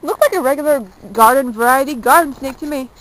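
Young woman vlogger, high voice, jokey and chatty, handheld camera outdoors with faint street hiss. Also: fast talker, a bit bright, poor audio. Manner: chatty, jokey, self-mocking. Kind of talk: casual chat while walking. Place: outdoors on a small-town street, later indoors in a wood-paneled room. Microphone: handheld camera held close.

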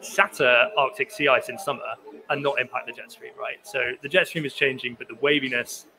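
A man's voice: mid-range voice, fairly even intonation, a little fast, noisy background. A young man, a sea ice scientist, speaking at a conference podium and answering questions, with many restarts and fillers. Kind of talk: conference talk and Q&A. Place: conference pavilion hall, indoors. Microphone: podium microphone.

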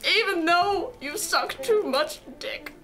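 A woman voicing a weepy, melodramatic young maiden puppet with overwrought, drawn-out wailing in an improvised comedy show. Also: very high voice, animated, full character voice. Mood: tearful. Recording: loud music under the voice. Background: music.

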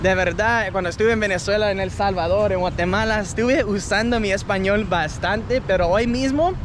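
Chatty vlogger over street traffic. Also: male voice, high voice, normal speed. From United States. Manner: chatty, self-correcting, heritage speaker searching for words. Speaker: travel vlogger. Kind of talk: casual walking vlog to camera. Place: city street outdoors in hot midday sun. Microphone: action camera at arm's length. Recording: outdoor traffic noise behind.

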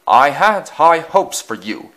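Storybook railway controller, acted by a man: stern, disappointed, booming, emphatic rise and fall. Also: big pitch swings, slow pace, pushed and bright, exaggerated voice. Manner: booming, reproachful.

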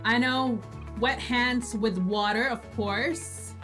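A woman's voice: fairly high pitch, fairly even intonation, slow pace, fairly bright tone, soft background music. A woman hosting a show in a casual, instructive way. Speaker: radio show host. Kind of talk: casual chat, reading out instructions. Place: small indoor home studio, video call.

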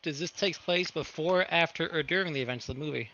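High, bright man bantering casually. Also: fast, muffled recording. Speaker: tabletop game player. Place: online group call.

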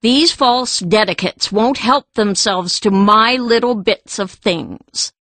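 A woman's voice: mid-range voice, slow pace, a bit bright, dry recording. A woman playing a mean, greedy islander, scornful and smug, in a fantasy audiobook. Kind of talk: full-cast fantasy audiobook acting.

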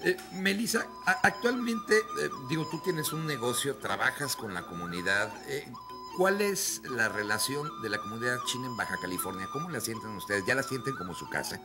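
A man in his forties hosts a local TV studio segment, asking his guest questions in an easy conversational way. His voice sits at a middle pitch for a man. Music runs clearly underneath. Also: big pitch swings, normal speed. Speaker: TV host. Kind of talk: TV studio interview. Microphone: handheld mic.

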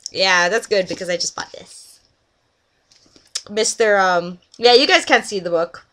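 A woman's voice: mid-range voice, a little slow, fairly bright tone. A young Canadian woman on a headset mic in her bedroom, chatting casually through a list of words and questions, often giggling. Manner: giggly, chatty, breaks off to scold friends on a call. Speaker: vlogger doing an accent meme. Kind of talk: casual webcam vlog. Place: small bedroom indoors. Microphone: headset microphone.

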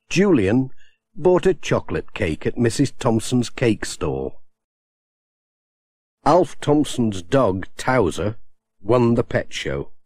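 A man narrating a children's storybook in a gentle, expressive way on a close studio mic. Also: medium pitch, dry recording. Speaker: audiobook narrator.

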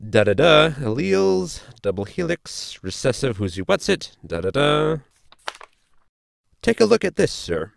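A man playing a pedantic, eccentric science officer, formal and polite, with a creaky voice, in a sci-fi comedy audio drama. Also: on the high side, very animated, unhurried, dry recording, studio quality, full character voice. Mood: precise.

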